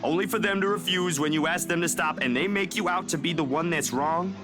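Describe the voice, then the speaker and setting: A man's voice: fairly high pitch, fairly quick, natural voice. A man playing a polite, principled young man in a visual novel game, earnest and hesitant yet firm. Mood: firm, frustrated. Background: game music.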